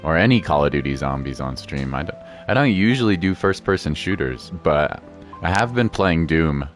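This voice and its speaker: Male game streamer, extremely deep but lively voice, casual and playful, light music behind. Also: normal speed. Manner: laid-back, repeats himself, playful asides. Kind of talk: casual livestream chat, commentary.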